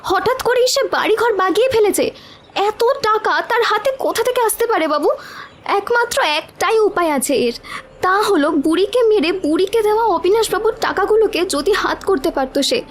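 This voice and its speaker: A woman voicing a desperate village wife in a cartoon, pleading insistently and deferentially. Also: extremely high pitch. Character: desperate, pleading village wife defending her jailed husband. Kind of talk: cartoon voice acting.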